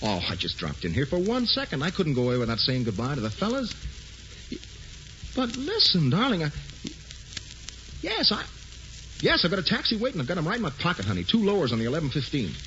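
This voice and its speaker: An actor playing a brash, wisecracking newspaper reporter in a 1940s radio play. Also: male voice, fairly high pitch, wildly swooping pitch, brisk, pauses often, fairly bright tone, slight background noise. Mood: pleading. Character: brash fast-talking male newspaper reporter. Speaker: lead actor. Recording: dull 1940s radio broadcast.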